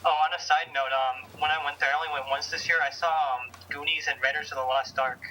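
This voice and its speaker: A man's voice: a little high, fairly even intonation, quick pace, pushed and bright, poor audio. An American man co-hosting a casual podcast in an agreeable, conversational way. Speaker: podcast co-host.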